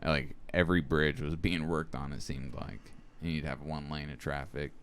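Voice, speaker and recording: A man's voice: extremely low pitch, steady tone, normal speed, slight background noise. A young American man talking casually on a podcast in slangy, swear-heavy everyday speech. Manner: profane, slangy. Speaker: podcast co-host. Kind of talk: casual chat.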